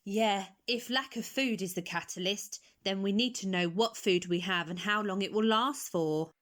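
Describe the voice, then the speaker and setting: A woman's voice: medium pitch, normal speed, fairly bright tone, natural voice. A woman acting in an amateur radio play as a fair-minded, practical person who stands up for others and reasons things out. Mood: questioning, practical.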